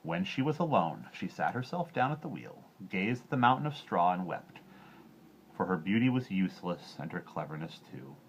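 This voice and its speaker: A man reading a fairy tale aloud as an expressive storyteller. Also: low-pitched, moderate pace, a little hiss or hum, rough recording.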